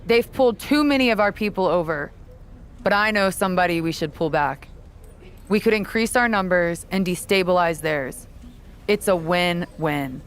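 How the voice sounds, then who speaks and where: A woman playing a calculating veteran wrestler in a video game cutscene, talking in a cool, condescending, measured way. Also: on the low side, close to an everyday voice.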